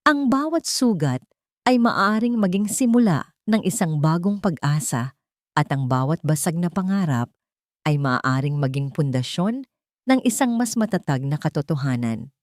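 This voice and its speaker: A woman's voice: low-pitched, expressive, normal speed, darkish tone, dry recording, clean studio sound. A woman with a Filipino accent narrating a scripted story in a dramatic audiobook style.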